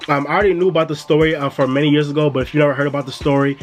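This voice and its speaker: A man's voice: a little high, steady tone, rapid-fire. Stuffy-sounding man chatting with viewers. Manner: laid-back and chatty, addresses viewers as "y'all". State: stuffy, coming down with a cold. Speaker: music and entertainment YouTuber. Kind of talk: casual review vlog.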